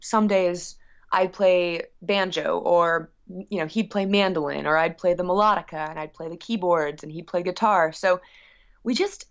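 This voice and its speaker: Woman musician chatting, slightly dull audio. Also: lowish voice. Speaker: musician guest being interviewed. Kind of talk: casual conversational interview.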